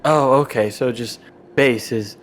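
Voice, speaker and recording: A man with an average-pitched voice plays a tabletop game. He speaks in a casual, improvised way. His delivery is halting and hesitant. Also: a little hiss or hum.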